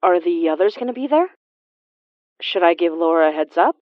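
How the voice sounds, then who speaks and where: A woman's voice: lowish voice, animated, moderate pace, dry recording, narrow, tinny audio, close to an everyday voice. An actress playing an awkward, guarded woman investigator with dry wit and a hesitant way of talking in an audio drama. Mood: uneasy. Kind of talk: naturalistic audio drama acting.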